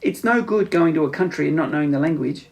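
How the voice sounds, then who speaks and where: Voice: medium pitch, lively intonation, fast, creaky, darkish tone. A man voicing a grand, self-assured, well-travelled bird in a children's storybook. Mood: grand, breezy. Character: grand, worldly African roly-poly bird, magnificent and helpful.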